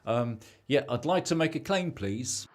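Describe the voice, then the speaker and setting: A young British man playing a selfish, excitable married debtor who thinks he's rich, in an amateur radio play. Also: medium pitch, unhurried, natural voice. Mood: grudging.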